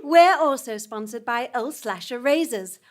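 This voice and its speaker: A woman's voice: a little high, lively intonation, unhurried. A woman announcer delivering a scripted spoof advert in a polished sales manner.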